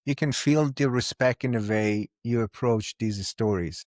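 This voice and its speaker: A man hosting an interview, asking measured questions and affirming the guest. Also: medium pitch, a little mellow, dry recording, clean studio sound. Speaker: podcast host.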